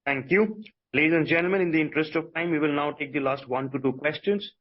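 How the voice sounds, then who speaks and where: A man's voice: fairly high pitch, quick pace. A man moderating a conference call with formal, courteous scripted announcements. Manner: courteous, procedural. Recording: dull, lo-fi conference line.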